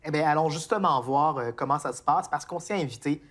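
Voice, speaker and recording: A woman's voice: sits low, a little fast, slight background noise. A woman hosts a TV interview, asking friendly, conversational questions. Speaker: TV host.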